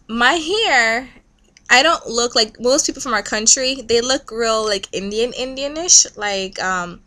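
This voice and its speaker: A woman's voice: medium pitch, sharp tone. A young woman from Trinidad talking candidly in a casual vlog, rambling and peppering her speech with "like". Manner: chatty, candid, heavy use of "like". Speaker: vlogger.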